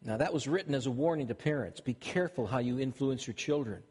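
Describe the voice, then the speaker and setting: A man's voice: mid-range voice, fast talker, darkish tone, husky. An older man from Minnesota with a Minnesotan accent, preaching a sermon in a conversational way with frequent restarts. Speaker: pastor. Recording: long hall reverb.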